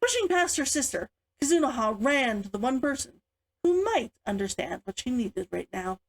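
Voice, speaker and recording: A woman reading her own book aloud as an author, in an expressive audiobook style. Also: fairly high pitch, normal speed, poor audio.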